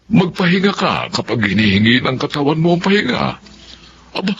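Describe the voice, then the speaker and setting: A man's voice: on the high side, very animated, a little fast, a bit bright. An actor with a Filipino accent playing a caring, worried older father in a radio drama, speaking with fatherly concern. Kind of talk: Tagalog radio drama acting. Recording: muffled audio with faint noise.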